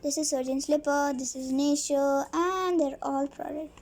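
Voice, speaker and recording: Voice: high-pitched, fairly even intonation, a little slow, a little mellow, a little hiss or hum. A child reading a storybook aloud, stumbling over words and repeating phrases now and then.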